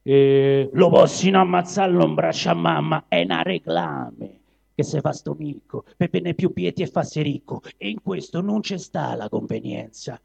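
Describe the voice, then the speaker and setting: A man's voice: fairly high pitch, normal speed, creaky, a little mellow, breathy edge, heavily acted. A man voicing a proud, bitter caged lion in dramatic dialect verse, grumbling and declaiming with a Roman accent. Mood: indignant. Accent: Roman Italian dialect. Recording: dull lo-fi live recording.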